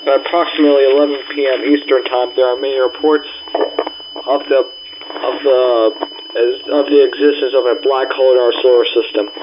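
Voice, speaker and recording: Man, mid-pitched, halting stumbling delivery, mock emergency announcement, clear hum and noise behind. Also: little pitch movement. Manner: halting, stumbling over words and repeating phrases. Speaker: amateur emergency broadcast announcer playing a president's address. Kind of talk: mock emergency alert announcement, read aloud.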